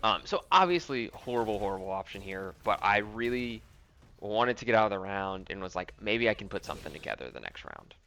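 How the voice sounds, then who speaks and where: Man, young, casual game replay commentary, lowish pitch, bright tone, faint music behind. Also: big pitch swings, brisk. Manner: thinking aloud with many ums and likes. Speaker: fighting game player reviewing his own match.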